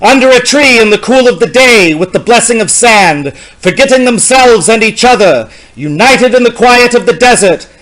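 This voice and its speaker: A man giving a dramatic poetry reading in a solemn, deliberate, theatrical and incantatory manner. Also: very high voice, lively intonation, fairly bright tone, low-quality recording. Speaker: poetry reader.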